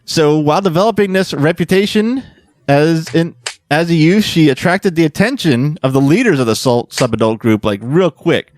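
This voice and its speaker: Higher-voiced man hosting a podcast, dry sound. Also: animated, moderate pace, darkish tone, no room sound. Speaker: podcast host presenting research. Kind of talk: casual explanatory podcast chat.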